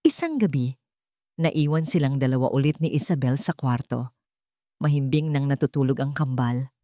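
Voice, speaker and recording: A woman's voice: very deep voice, lively intonation, moderate pace, mellow, no echo, clean studio sound. A woman with a Filipino accent reading a scripted romance story as a dramatic narrator.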